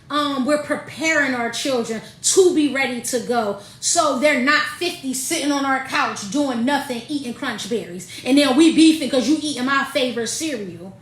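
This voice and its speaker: A woman in her late thirties talks in an animated, slangy way. She laughs often and sits high in her range. The room around her rings with echo. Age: 30s. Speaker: podcast co-host, mother. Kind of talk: casual chat.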